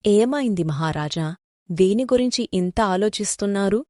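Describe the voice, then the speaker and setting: A woman's voice: mid-range voice, animated, normal speed, a little mellow, dry recording, natural voice. A woman voicing a concerned queen and protective mother in a cartoon moral story.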